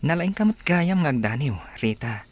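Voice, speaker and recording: A man with an Ilocano accent playing a gentle, smitten young suitor in a radio drama. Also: on the high side, sing-song, moderate pace, dry recording. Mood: admiring.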